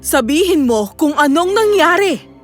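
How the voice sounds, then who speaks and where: A woman's voice: sits high, expressive, measured pace, faint music behind. A woman acting the young women and mothers of a children's fairy tale, with theatrical, exclamatory delivery. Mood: concerned.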